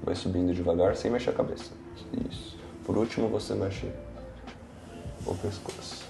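Casting: young Brazilian male chiropractor, low gravelly voice, reassuring, guiding a patient in a roomy space. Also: steady tone, normal speed. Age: young adult. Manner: reassuring, checking in often. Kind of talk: instructing a patient during treatment, explaining to camera. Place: small indoor treatment room with large windows. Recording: roomy echo, faint music behind.

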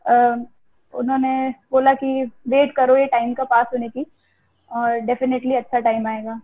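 High-voiced hesitant young woman, muffled call. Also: flat delivery. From India. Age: early 20s. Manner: hesitant, halting with frequent pauses. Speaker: interviewed cricketer. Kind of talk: casual interview answers. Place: remote call on social media live. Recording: muffled, band-limited video call audio.

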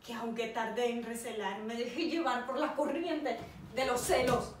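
A woman acting a remorseful, proud military man in a solemn, declamatory stage monologue, with deliberate pauses. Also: fairly high pitch, fairly even intonation, natural voice. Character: tragic male general, remorseful, proud, anguished. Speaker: drama student performing an exam monologue. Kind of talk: dramatic theatrical monologue. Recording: roomy, distant mic with faint noise.

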